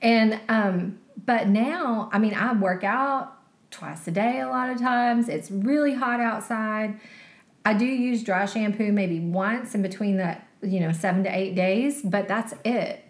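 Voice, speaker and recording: A woman in her forties co-hosting a casual health podcast, chatty and quick to laugh. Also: mid-range voice. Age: 40s. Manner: chatty, laughs easily, talks through advice. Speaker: podcast co-host. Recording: echoey room.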